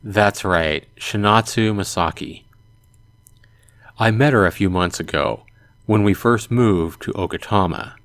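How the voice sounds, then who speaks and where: A man's voice: on the low side, unhurried, fairly bright tone, no echo. A man playing an earnest, self-doubting teenage boy in a visual novel, speaking hesitantly. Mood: earnest, urgent. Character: earnest, self-doubting teenage son telling his story.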